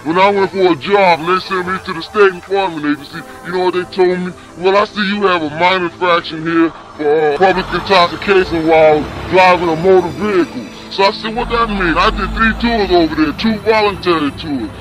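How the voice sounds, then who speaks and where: A high-pitched man in an archival street interview, a veteran venting his anger in short indignant bursts and rhetorical questions. A music bed plays beneath him. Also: fairly quick, hardly any pauses, rough recording. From United States. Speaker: Vietnam veteran.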